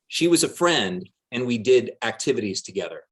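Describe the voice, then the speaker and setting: An evasive male politician under questioning, acted out by a man in a dramatic reading. He is deliberate and pedantic, splitting hairs over every word. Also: medium pitch, sing-song, slightly rough. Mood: defensive, evasive. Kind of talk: dramatic reading of a deposition transcript.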